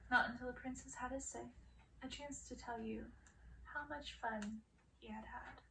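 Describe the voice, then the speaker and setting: A woman reads a dark fairy-tale story aloud in ASMR style, as a dramatic storyteller who draws out words and slips toward whispers. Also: on the high side, steady tone, moderate pace. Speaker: audiobook reader. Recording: distant mic with faint noise.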